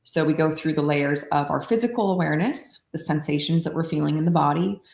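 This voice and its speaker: Low creaky-voiced woman, calm teacher. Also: fairly quick, reverberant. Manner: calm, explanatory, frequent "like". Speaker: meditation teacher. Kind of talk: casual podcast conversation.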